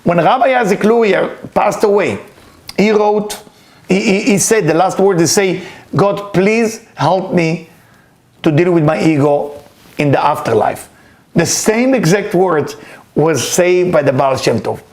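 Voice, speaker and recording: A man's voice: sits high, expressive, measured pace, a little mellow. A man, a Hebrew speaker, giving an earnest, emphatic weekly spiritual talk. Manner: earnest, emphatic, repeats key words. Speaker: spiritual teacher.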